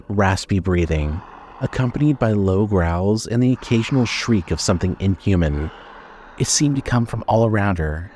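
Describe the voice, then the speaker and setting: A man narrating a scripted horror story as storyteller, measured and suspenseful. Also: fairly low pitch, a little mellow, a little hiss or hum.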